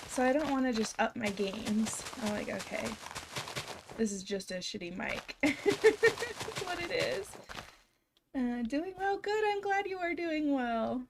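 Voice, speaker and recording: A young woman live streaming from home, her voice a little hoarse and froggy after being ill. She sits somewhat high in pitch and talks slowly, drifting through casual chatter with her viewers and laughing often. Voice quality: slightly scratchy, froggy. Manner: chatty, giggly, talks to chat. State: hoarse, recovering from illness. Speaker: game streamer. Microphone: desk microphone.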